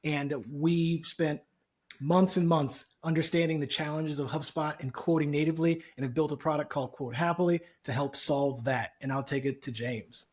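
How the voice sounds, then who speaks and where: A man's voice: a little high, fairly even intonation, normal speed, darkish tone. Upbeat man hosting a webinar. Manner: upbeat, loose, jokey. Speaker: webinar host, co-founder. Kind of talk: casual webinar chat.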